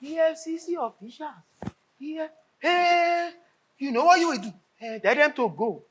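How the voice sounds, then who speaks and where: A corrupt Nigerian senator with a high-pitched voice. He blusters and stammers, repeating himself and tripping over grand words in a flustered, theatrical way. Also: a little slow, a bit bright, poor audio. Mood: flustered, bossy. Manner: stammering, repetitive, fumbles big words. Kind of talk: stage play acting.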